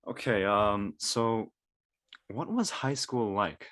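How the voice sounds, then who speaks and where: A man's voice: lowish voice, lively intonation, takes it slowly, a bit bright. A man playing a curious teenage son quizzing his parents in a live script reading. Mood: curious, teasing.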